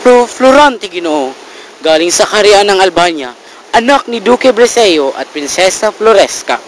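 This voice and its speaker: A man's voice: high voice, animated, normal speed, slight background noise, poor audio. A young man playing a courteous young nobleman student. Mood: polite. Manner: polite, formal, stumbling on words. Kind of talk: amateur student drama acting.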